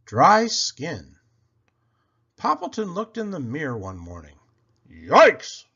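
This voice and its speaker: Animated man reading a storybook, unhurried. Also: a little high, husky, muffled recording. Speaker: storyteller reading aloud to children. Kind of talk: expressive picture book narration.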